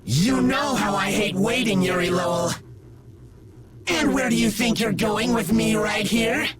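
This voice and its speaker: An actor playing a deranged, battle-hungry villain in an anime video game, taunting in a theatrical, maniacal, sing-song way. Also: male voice, high voice, measured pace, music in the background. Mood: manic taunting. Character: deranged, battle-hungry male villain obsessed with his rival.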